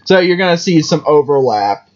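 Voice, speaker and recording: Man with a lively, rambling voice. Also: a little high, moderate pace, muffled recording. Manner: rambling, lots of restarts. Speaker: podcast host. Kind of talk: casual chat.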